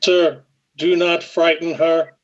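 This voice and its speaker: A male actor playing an earnest, protective robot in a radio drama, measured and formal. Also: fairly high pitch, level tone, dull audio, background noise. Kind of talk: old-time radio drama acting.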